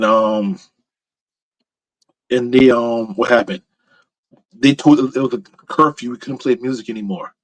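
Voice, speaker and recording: A man's voice: medium pitch, no echo, rough recording. Slangy laid-back male host, dry mic. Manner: loose, slangy talk with frequent "bro" and "you know what I'm saying". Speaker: travel show host. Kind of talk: casual call-in show chat.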